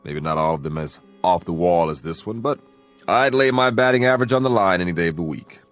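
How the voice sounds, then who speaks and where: A middle-aged man playing a methodical, confident aviation investigator in a television drama, with a measured official manner. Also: low voice, lively intonation, soft background music. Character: self-assured, methodical male federal aviation investigator.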